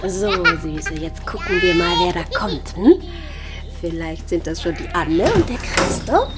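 A woman's voice: lowish voice, huge pitch swings, a little slow, over loud music, exaggerated voice. An actress playing a friendly, welcoming young wife and mother in a TV drama, affectionate and hospitable. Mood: playful, tender. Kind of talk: naturalistic German TV drama dialogue.

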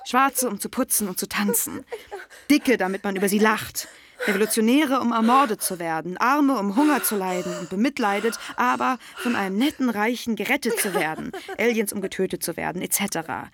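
A woman's voice: mid-range voice, expressive, fairly quick, crowd noise, lightly acted. A woman playing a defiant, sardonic young feminist activist delivering a polemical monologue in a radio play. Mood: scornful, impassioned.

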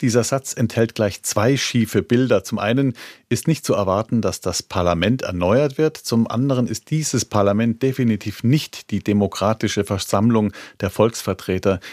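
A man presenting radio news in a smooth, lightly conversational way. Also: on the low side, a little mellow, no room sound, studio quality.

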